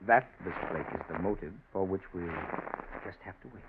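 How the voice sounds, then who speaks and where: An actor playing a businesslike, probing investigator in a 1940s radio drama. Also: male voice, sits low, brisk, phone-quality sound. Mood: measured. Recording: noisy band-limited 1940s radio recording.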